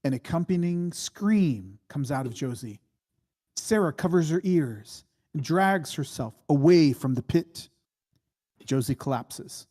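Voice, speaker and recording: A man reading screenplay stage directions as dramatic narration, in a deliberate, suspenseful way. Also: medium pitch, warm tone.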